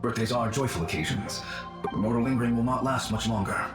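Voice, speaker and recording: A man playing the gentle ghost of an ancient warrior guardian in a video game, speaking formally and wistfully. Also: mid-range voice, natural voice. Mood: courteous farewell. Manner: formal, wistful, measured speech. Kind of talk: scripted video game dialogue. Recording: echoing voice under loud game music.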